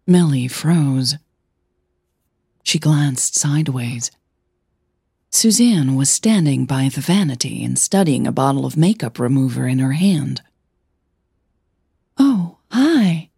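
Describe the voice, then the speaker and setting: A woman narrating scripted fiction as a podcast host, in a measured, deliberate way. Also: rumbling low, vocal fry, dark tone, husky, dry recording, studio quality.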